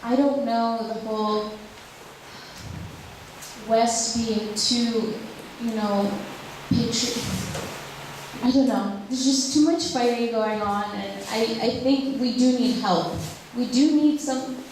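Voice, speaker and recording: A woman's voice: fairly high pitch, steady tone, slow, noisy background. A woman from Ukraine, a drawing teacher answering audience questions at a public talk, speaking hesitantly with many fillers and restarts. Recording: echoey hall, speaker far from mic.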